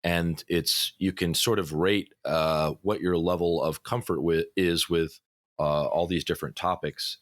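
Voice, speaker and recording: A man who runs a podcast game, talking in a relaxed way with many fillers on a panel. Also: sits low, a little slow, no room sound, studio quality. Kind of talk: casual panel discussion.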